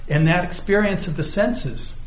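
A man giving a meditation talk in a slow, halting way, with many fillers and restarts, recorded in a roomy hall with a faint hum. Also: sits high. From United States. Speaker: meditation teacher. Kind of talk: dharma talk.

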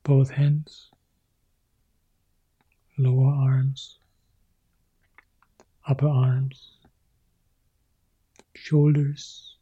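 Monk guy guiding meditation, super slow, soft and half whispered, deep mellow tone. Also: completely flat delivery, breathy edge, lo-fi sound. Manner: soft, slow phrases, often sinking to a whisper. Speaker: Buddhist monk leading meditation. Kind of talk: guided meditation.

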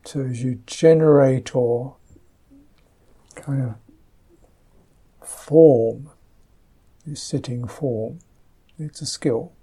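Guy leading a meditation, super soft dark voice, bit husky, really slow n gentle w pauses. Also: mid-range voice. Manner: gentle, unhurried, halting phrases with long pauses. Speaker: meditation teacher. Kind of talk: guided meditation instruction.

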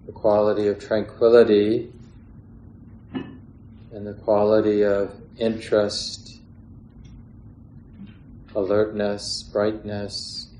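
A man leading a guided meditation as a teacher, speaking calmly and in measured phrases with long pauses. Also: fairly low pitch. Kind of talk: guided meditation, unscripted instruction. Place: meditation hall or large room. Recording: roomy echo, faint background noise.

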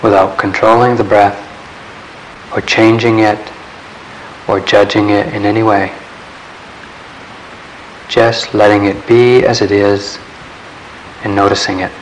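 A man leading a guided meditation in a calm, measured way, leaving long pauses between short phrases. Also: on the low side, poor audio. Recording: steady background hiss.

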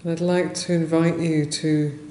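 A woman leading a guided meditation as a teacher, speaking gently and unhurriedly in short phrases with long pauses. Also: deep voice, flat delivery. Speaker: meditation teacher, Buddhist nun. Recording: roomy echo, faint background noise.

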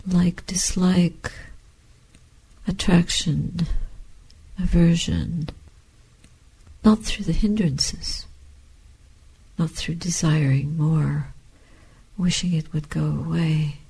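An older woman, a Buddhist meditation teacher, speaking softly and breathily in a measured, contemplative way, with short phrases and long pauses. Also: fairly low pitch, vocal fry, husky, poor audio. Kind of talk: reflective dharma talk and guided meditation.

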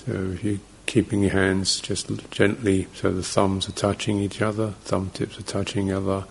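A man teaching guided meditation, speaking in short, gentle fragments with long pauses between them. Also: low voice, little pitch movement, vocal fry, a little hiss or hum, rough recording.

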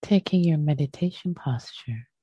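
A woman teaching a guided meditation in a gentle, unhurried manner, leaving long pauses between short phrases. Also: low voice, slightly rough. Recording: muffled, roomy sound.

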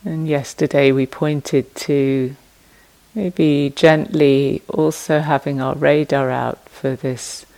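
A woman meditation teacher giving guided instructions in a gentle, unhurried manner, leaving long pauses between phrases. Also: deep voice, steady tone, rough recording.

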